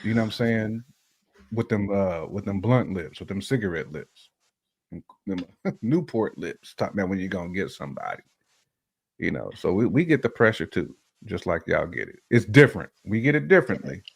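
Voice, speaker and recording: Low-voiced American man, casual and slangy. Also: lively intonation, moderate pace, gravelly, slightly rough. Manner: slangy, repeats phrases for emphasis. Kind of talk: casual relationship podcast chat.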